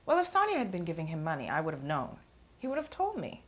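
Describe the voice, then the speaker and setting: A woman's voice: low-pitched, wildly swooping pitch, fast talker, exaggerated voice. A woman playing a weary, defensive woman answering questions in a blunt, dismissive, conversational way. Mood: weary, candid. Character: defensive, weary woman under questioning about failed relationship.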